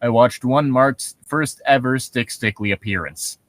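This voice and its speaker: A man's voice: mid-range voice, unhurried, fairly bright tone. A man co-hosting a casual reading of internet horror stories, joking and swearing as he goes. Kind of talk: casual banter and reading aloud.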